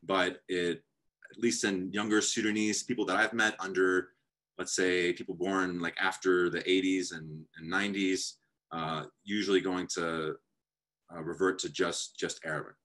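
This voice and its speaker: Low-voiced man on a video call. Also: little pitch movement, normal speed, a bit bright. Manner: thoughtful, reads from his draft with gestures. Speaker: panelist. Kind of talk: academic panel talk. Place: home room, indoors.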